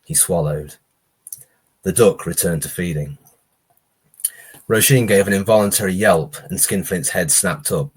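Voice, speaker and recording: A man with a very low voice reads horror fiction aloud as its author. His tone has a husky, roughened edge. The delivery is that of a live short-story reading. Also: unhurried, long pauses.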